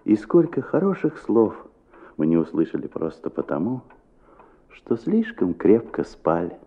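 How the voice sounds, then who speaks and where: A man's voice: lowish voice, huge pitch swings, measured pace, phone-quality sound, heavily acted. A man acting as the reflective narrator of a children's radio play, telling the tale expressively. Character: warm reflective male storyteller of a children's tale.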